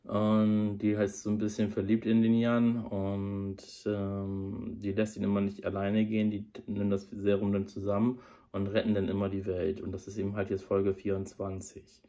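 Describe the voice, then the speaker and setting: Man with a cold, low flat voice, mellow tone, rambling casual chat, close camera in a small room. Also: normal speed. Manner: rambling, many fillers and restarts. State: has a cold. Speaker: audio drama reviewer. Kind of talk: casual review talk to camera. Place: small room under a sloped roof, indoors. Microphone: device camera at close range.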